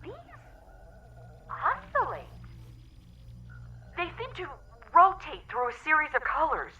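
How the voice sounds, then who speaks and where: A woman's voice: sits high, huge pitch swings, slow pace, sharp tone, highs cut off, exaggerated voice. A woman playing an exasperated, bossy, science-minded spaceship crew member in a sci-fi comedy audio drama, snappy and impatient. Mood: absorbed, explaining.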